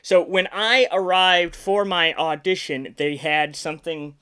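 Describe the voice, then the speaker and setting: A man's voice: on the high side, steady tone, measured pace, sharp tone, clear, resonant tone. A man hosting his podcast in an easygoing, rambling way, full of filler words and restarts, and a little congested from sinus trouble. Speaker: podcast host and puppeteer. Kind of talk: casual podcast chat.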